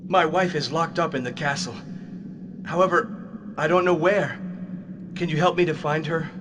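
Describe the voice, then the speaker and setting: Man playing a high-voiced, urgent young hero. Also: fairly even intonation, moderate pace, noisy background, lightly acted. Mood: determined. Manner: urgent, insistent questioning. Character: earnest, anxious young husband, determined hero. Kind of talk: dramatic video game cutscene acting. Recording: music under the voice.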